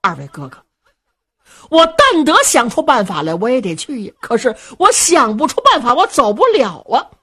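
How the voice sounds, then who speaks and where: A woman's voice: fairly high pitch, very animated, normal speed, pushed and bright, breathy edge, voice pushed up, heavily acted. A woman voicing a young male noble who pleads earnestly and protests when pushed, in traditional storytelling. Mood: torn, pleading.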